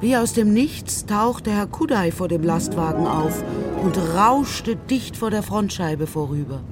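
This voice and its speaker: A woman's voice: mid-range voice, expressive, a little slow, music in the background. A woman narrating a children's radio play in a scripted literary storytelling style.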